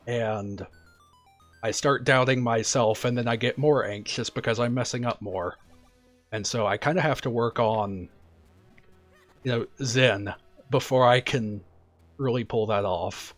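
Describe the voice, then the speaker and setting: A man streaming a video game, talking casually and quietly about what he's doing in a relaxed, chatty way. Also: mid-range voice, unhurried, long pauses, soft background music. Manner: soft-spoken, relaxed and chatty. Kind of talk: casual gameplay commentary.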